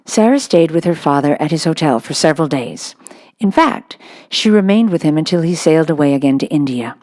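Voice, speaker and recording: Low-pitched woman reading a children's storybook. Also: animated, fairly quick, darkish tone, no echo, clean studio sound. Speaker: audiobook reader. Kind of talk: scripted storybook narration for children.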